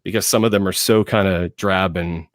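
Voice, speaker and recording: A man speaking as a panelist in enthusiastic film talk, emphatic, with dramatic pauses and phrases repeated for effect. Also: low-pitched, steady tone, dry recording, studio quality.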